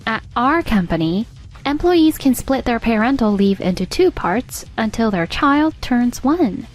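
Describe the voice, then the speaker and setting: Woman acting firm, composed team leader, higher voice. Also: sing-song, a little slow, soft background music. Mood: firm, challenging. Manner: pointed, coolly authoritative. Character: composed, firm female team leader defending her husband. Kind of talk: scripted manga dub acting.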